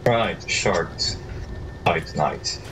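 Deep-voiced man chatting over distant voice chat. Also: drawn-out words, noisy background. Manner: easygoing, slangy banter. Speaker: co-op gaming partner. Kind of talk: casual co-op gameplay chat.

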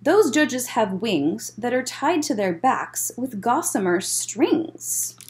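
A woman in her thirties reads a children's picture book aloud. Her voice sits fairly high and swings widely in pitch. She takes her time and adds little explanations for young listeners. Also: big pitch swings, slow pace, darkish tone. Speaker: children's library story time reader. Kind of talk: read-aloud picture book storytelling with asides. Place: indoors, library room with bookshelves.

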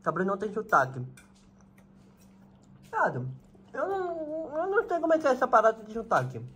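A man's voice: extremely high pitch, huge pitch swings, brisk, fairly bright tone, breathy edge. A young man streaming from home, chatting casually in a laid-back, slangy way. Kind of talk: casual livestream chat. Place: home kitchen.

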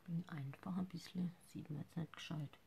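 A woman's voice: lowish voice, fairly even intonation, moderate pace, gravelly, heavy background noise. A woman in her fifties hosting a casual live makeup tutorial from her living room, chatty and a bit nervous, often trailing off into mumbles, heard through a webcam at desk distance. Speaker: makeup seller and live-stream host.

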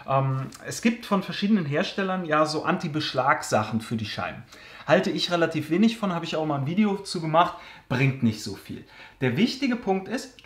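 Chatty man with a roomy basement echo. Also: fairly high pitch. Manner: chatty, hesitant fillers, joking asides. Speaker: car care channel host. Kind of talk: casual Q&A answering viewer questions. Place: basement storage room.

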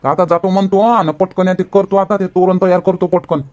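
A man's voice: high-pitched, flat delivery, a little fast, a little mellow, close to an everyday voice. A man voicing a grumbling village farmer and family man in a cartoon comedy, with a rural Vidarbha Marathi accent. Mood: harried.

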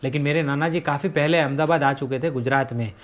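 A man's voice: on the high side, quick pace. A man in his thirties from India, chatting casually as he guides viewers through his apartment on a handheld phone. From India, raised in Rajasthan. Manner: chatty, guiding viewers room by room. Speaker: vlogger. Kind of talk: casual house tour vlog. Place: apartment, indoors.

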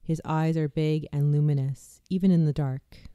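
A meditation guide narrates a guided visualization with a deeply mellow, dark-toned voice. The delivery is slow, soft and soothing, sometimes dropping to a hush. The sound is smooth and dry, with no room echo. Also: fairly even intonation, lo-fi sound.

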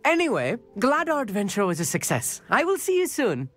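A woman's voice: fairly high pitch, huge pitch swings, brisk, faint music behind. A young woman voicing a chatty, eccentric schoolgirl astronomer in a video game, rambling with self-assurance. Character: eccentric, chatty schoolgirl stargazer, boastful and quirky. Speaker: game character.

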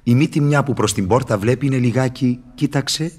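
An actor playing a sly, mocking, falsely humble devil in radio theatre, with husky, ironic, wheedling verse delivery. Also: male voice, medium pitch, darkish tone, close to an everyday voice.

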